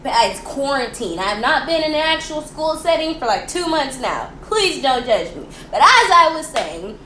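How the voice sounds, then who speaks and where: Young American woman, student history host. High voice, informal and playfully theatrical, echoey room with faint noise. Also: big pitch swings, moderate pace, bright, forceful tone. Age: young adult. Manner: playful, theatrical, slangy, with rhetorical questions. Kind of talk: chatty, informal history presentation for a school project.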